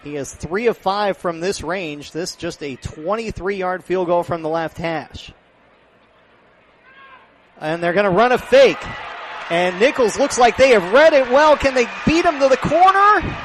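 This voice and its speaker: A man doing live play-by-play commentary of a football game as a radio announcer, close on the mic at an outdoor stadium. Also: sits high. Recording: clear outdoor stadium background noise.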